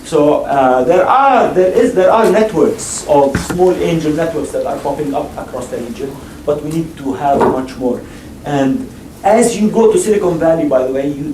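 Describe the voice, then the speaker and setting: A man's voice: a little high, mellow, far from the mic. A man on a panel talking about investing, emphatic and repetitive, often restarting his sentences. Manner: emphatic, repeats himself, frequent restarts. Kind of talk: panel discussion and audience Q&A. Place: lecture hall. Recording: echoey hall, mic from afar, faint noise.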